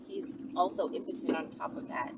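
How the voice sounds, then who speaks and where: A woman talking casually and candidly to viewers as a question and answer host, with playful, drawn-out emphasis on words. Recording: distant mic with loud traffic and vehicle noise. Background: traffic noise through an open window.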